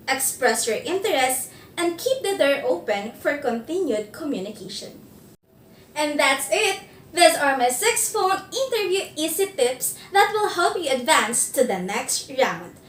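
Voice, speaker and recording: A woman presenting a scripted instructional video, giving phone interview tips in a measured, clear delivery. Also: high voice, reverberant, far from the mic, slight background noise.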